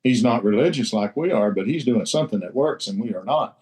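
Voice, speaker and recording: A man's voice: medium pitch, animated, fairly quick, a little mellow. A man sharing in a recovery roundtable in a blunt, salty way, swearing freely and repeating himself for emphasis. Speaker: recovery group member sharing. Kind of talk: casual share in a recovery roundtable.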